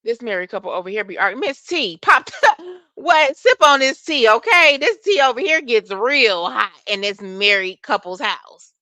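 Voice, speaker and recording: A soft-voiced woman with hosts a live chat, her tone bright and forceful. She sits close to a dry microphone and answers viewers in an animated way, cursing freely. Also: on the high side, normal speed, dry recording. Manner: animated, talks back to chat, curses freely. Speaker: livestream host.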